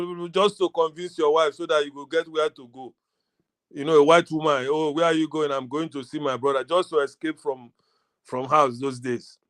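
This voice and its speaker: A Nigerian man with a fairly high voice, talking informally on a panel. He slides into Pidgin and tells his points as stories, voicing both sides of conversations. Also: a bit bright. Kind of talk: informal opinion and anecdote, mixing in Pidgin.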